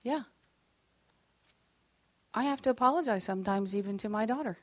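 Animated woman leading a faith workshop. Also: fairly high pitch, moderate pace, dry recording. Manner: animated and expressive, acting out quoted lines; chatty and self-deprecating, with asides to the group. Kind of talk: teaching a faith workshop to a group.

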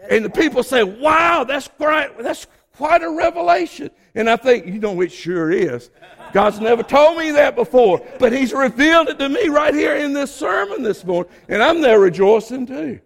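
An older man preaching a sermon, his voice hoarse, building to shouted emphasis. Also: extremely high pitch, lively intonation, moderate pace, in a large hall.

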